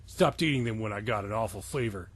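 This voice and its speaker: A man playing a gruff, laconic older wizard mentor in a comic fanfiction reading, speaking slowly and plainly. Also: on the low side, voice pushed down. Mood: laconic, calm.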